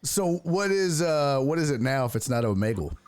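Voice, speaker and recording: A man's voice: on the high side, lively intonation, moderate pace, darkish tone, no room sound. A man hosting a sports and entertainment talk show, chatting loosely with a guest, swearing casually and laughing easily. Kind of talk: casual interview chat.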